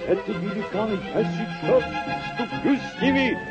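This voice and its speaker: An actor playing a deluded, gallant old knight-errant in a radio play, declaiming in stately, drawn-out phrases. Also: male voice, sits high, over loud music, lightly acted. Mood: excited, bellicose. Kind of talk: vintage radio play acting. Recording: dull old radio recording.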